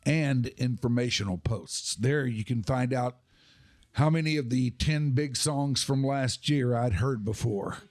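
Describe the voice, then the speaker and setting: A man's voice: mid-range voice, unhurried, darkish tone. A man hosting a podcast, chatting casually and conversationally. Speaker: podcast host. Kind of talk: casual chat.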